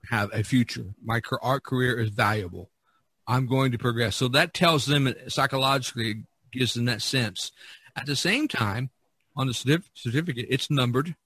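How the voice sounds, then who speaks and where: A man's voice: medium pitch, fairly bright tone, breathy edge, no room sound. A man chatting casually on a podcast, explaining things in a rambling way with many "you knows". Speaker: artist on a podcast.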